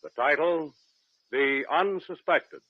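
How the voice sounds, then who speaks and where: A man's voice: fairly high pitch, takes it slowly, no room sound. A man playing a genial adventurer who hosts and narrates suspense tales on old-time radio. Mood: knowing, inviting. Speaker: host and narrator. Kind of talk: 1940s radio drama narration. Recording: dull old radio recording with music.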